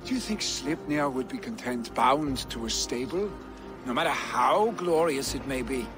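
A man playing a restless young Viking warrior, a grieving jarl's son, in a video game cutscene, speaking in an earnest, measured way. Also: medium pitch, expressive, music in the background, lightly acted. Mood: restless, frustrated. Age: young adult.

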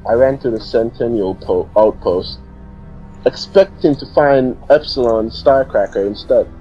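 A man's voice: medium pitch, a little slow, dull audio, faint music behind. A man reading a horror story aloud, stumbling and restarting words. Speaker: reader of a creepypasta. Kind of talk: comedic dramatic reading.

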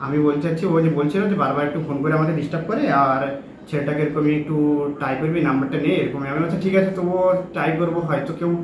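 A young man talks quickly on a phone call, in a slightly high voice. He is insistent and circles back on his point in casual, confrontational talk. The room echoes and there is clear background noise. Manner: insistent, repetitive. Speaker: prank caller.

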